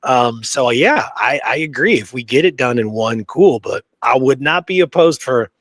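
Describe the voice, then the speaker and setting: A man with a medium-pitched voice chats casually as a podcast co-host. His delivery is conversational and enthusiastic, wandering from one thought to the next. The recording is dry, with very little room sound. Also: animated, moderate pace, a bit bright, dry recording. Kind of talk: casual chat.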